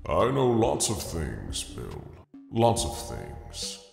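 Storybook deity played by a male narrator: very deep, gravelly old man, serene and patronising, in long reverb. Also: soft background music, full character voice. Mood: calm condescension. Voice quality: deep, measured. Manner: calm, unhurried, wryly patronising. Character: calm, unflappable bearded old male God, gently condescending.

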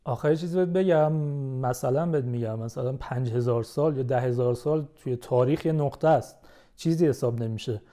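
A young man in casual conversation as a podcast guest, explaining things in a measured way. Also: mid-range voice, warm tone.

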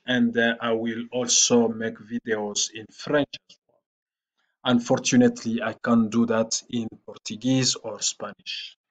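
Slow, halting man giving a screen tutorial. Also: mid-range voice, poor audio. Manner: slow and halting, with frequent "uh" fillers. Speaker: online platform trainer. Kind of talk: screen-recorded tutorial walkthrough.

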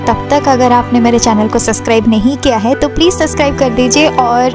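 A young woman with a high voice gives a chatty food vlog review, slipping English words in and pausing often on "uh". Music plays clearly beneath her voice. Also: fairly even intonation. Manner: chatty, mixes English words into Hindi, frequent "uh" pauses. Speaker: food vlogger. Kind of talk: casual restaurant review voice-over. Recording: music playing under the voice.

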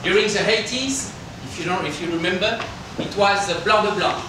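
French-accented man presenting in an echoey room. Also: sits high. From France. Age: middle-aged. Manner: halting non-native English with simple phrasing. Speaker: winemaker presenting a tasting. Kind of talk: informal presentation to a group. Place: indoor hotel function room. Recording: roomy, distant microphone with background noise.

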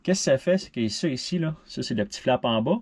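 Québécois man in his thirties, DIY boat vlogger; relaxed, talky, lots of fillers, close action-camera sound in a small cabin. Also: medium pitch, fast talker. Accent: Québécois French. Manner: relaxed, thinks aloud with fillers, mixes in English boat terms. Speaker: sailboat owner showing a refit. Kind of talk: casual how-to explanation.

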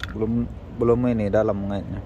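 A man's voice: fairly low pitch, flat delivery, normal speed, mellow, dull audio, a little hiss or hum. A man chatting casually and informally to his viewers as a fishing vlogger. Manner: informal, addresses viewers as guys. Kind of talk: casual vlog chat to viewers.

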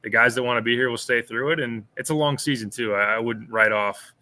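A man's voice: medium pitch, fairly even intonation, fairly quick, bright, forceful tone. A man in his thirties co-hosting a sports podcast, breaking down a game in a thoughtful way, often restarting and repeating words. He talks from a small room at home over a video call, wearing headphones. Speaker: podcast co-host, sports analyst. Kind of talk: casual postgame analysis. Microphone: over-ear headphones with a webcam on a video call.

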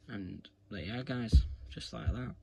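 Deep-voiced British man, casual how-to. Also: a little slow, gravelly, dull audio. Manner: informal, rambling, repetitive. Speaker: video presenter giving a how-to demonstration. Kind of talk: casual hands-on tutorial. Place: indoors at home, carpeted room. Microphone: phone camera filming his hands. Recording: noisy home phone recording.

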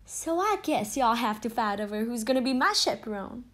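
A woman's voice: on the high side, animated, moderate pace, fairly bright tone. A woman playing a chatty, confident society reporter in an audio play, with a Southern American accent. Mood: breezy.

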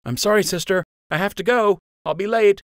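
A man's voice: high voice, a little fast, a bit bright, no echo, studio quality, pitched above the performer's own voice. A man playing an earnest, intense young male rabbit archer in an audiobook. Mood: anxious, pleading.

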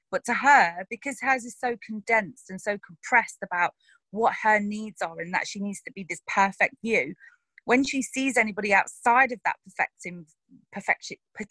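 An actress talks through her characters in a loose, frank interview, animated and quick to laugh or swear. Her voice sits mid-range for a woman and sounds dry and close, with no room around it. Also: medium pitch, no room sound, poor audio. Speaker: actress discussing her roles. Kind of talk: casual interview chat.

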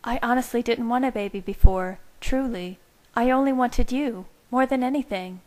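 A woman reading the part of an earnest, tender young pregnant woman, speaking gently and reassuringly. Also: a little high, normal speed, voice pushed up, close to an everyday voice, rough recording.

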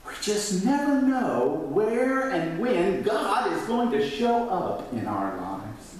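Dramatic preacher in an echoey church. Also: male voice, fairly high pitch, distant mic, slight background noise. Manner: dramatic preaching, swelling up then dropping to near whisper; gestures widely at the pulpit. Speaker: pastor. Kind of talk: sermon. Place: large church sanctuary.

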